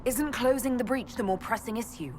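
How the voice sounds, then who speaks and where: An actress playing a guarded but resolute young mage held prisoner in a fantasy game, speaking plainly. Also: female voice, a little high, lively intonation, fairly quick, darkish tone, a little hiss or hum, natural voice. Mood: wary. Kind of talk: fantasy video game dialogue.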